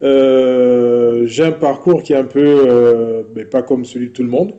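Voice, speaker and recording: A man in his thirties from Gabon answers interview questions. His voice is very dark and mellow. He speaks in a measured way and often pauses to hesitate. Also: medium pitch, fairly even intonation, lo-fi sound. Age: 30s. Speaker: entrepreneur guest.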